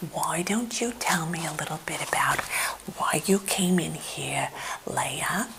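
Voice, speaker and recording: A middle-aged woman playing a quirky, chatty therapist in an ASMR role play, whispering softly and hesitantly in a reassuring way. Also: low voice, very noisy, exaggerated voice. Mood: attentive, soothing. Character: quirky, soothing, chatty female therapist welcoming a famous client. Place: indoor room at home.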